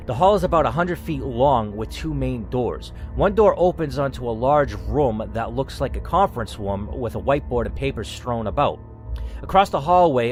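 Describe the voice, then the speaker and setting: A man reading an interactive story game aloud and stopping to think aloud about his choices, with the odd curse. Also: medium pitch. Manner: reads in first person, then breaks off to think aloud and curse. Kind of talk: reading narration with playthrough commentary.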